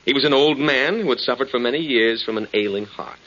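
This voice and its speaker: A man's voice: medium pitch, fast, fairly bright tone, natural voice. An actor playing a smug, menacing male secretary with a condescending, sneering way of talking in a 1940s radio drama. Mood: cold, taunting. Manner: condescending, sneering, mock-courteous. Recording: hissy muffled 1940s radio recording.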